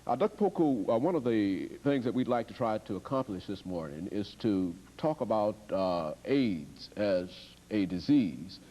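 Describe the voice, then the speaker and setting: A man's voice: on the low side, mellow. A man hosting a TV interview, asking formal, wordy questions with frequent hesitations. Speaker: TV show host. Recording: long hall reverb.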